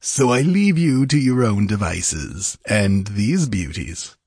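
Guy doing a smug cruel army commander for an audiobook, mocking and formal, low and a bit husky. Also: measured pace, no echo, studio quality, exaggerated voice. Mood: smug gloating. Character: mocking, cruel military commander gloating over his victim. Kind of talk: audiobook character voice.

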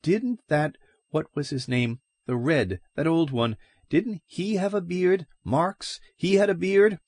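A man voicing a nosy, suspicious small-town woman gossip in a high, sharp tone. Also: slow pace, dry recording, studio quality.

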